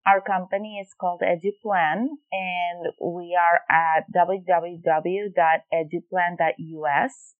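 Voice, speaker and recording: A woman's voice: fairly low pitch, steady tone, slow pace, few pauses, dry recording, narrow, tinny audio. Woman from Venezuela, explaining carefully. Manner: thoughtful, explanatory, with frequent fillers and restarts. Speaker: educational consultant guest. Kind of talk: podcast interview answers.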